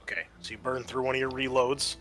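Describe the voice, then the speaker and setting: A man's voice: mid-range voice, fairly even intonation, quick pace. A man acting as game master, explaining rules and narrating a tabletop roleplaying game in a matter-of-fact, conversational way. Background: background music.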